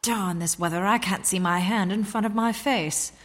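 An actress playing a feisty, exasperated girl Friday to a playboy hero, brisk and sharp-tongued in old-time radio serial style. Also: medium pitch, a little hiss or hum, close to an everyday voice. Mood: annoyed, suspicious. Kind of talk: 1940s radio serial acting.

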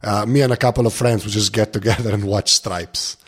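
A tired man with a gravelly voice chatting casually as a podcast guest, rambling in an informal, self-deprecating way. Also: lowish voice, steady tone, fairly quick. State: tired, barely awake. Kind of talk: casual chat.

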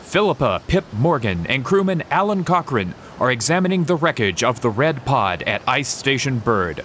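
A man's voice: medium pitch, a bit bright, a little hiss or hum. A man narrating and announcing a radio adventure drama in a dramatic announcer style.

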